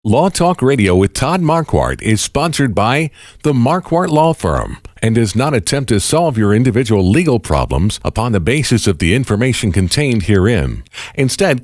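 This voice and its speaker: A man's voice: medium pitch, a little mellow, no echo. A man reading a scripted radio disclaimer as an announcer in a formal manner.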